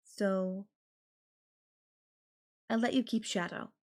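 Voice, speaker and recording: A woman voice actor playing an obsessive, possessive girlfriend in an intimate audio roleplay, with a coy, casual, drawn-out way of talking. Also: medium pitch, fairly even intonation, darkish tone, close to an everyday voice. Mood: coaxing, casual. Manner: coy, drawn-out, casual filler-laden phrasing with giggles and sighs. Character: obsessive, possessive yandere girlfriend, playfully menacing kidnapper.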